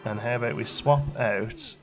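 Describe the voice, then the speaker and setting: A man's voice: medium pitch, normal speed, music in the background. A man giving casual let's play commentary, chatty and thinking aloud as he plays. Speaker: gaming channel host.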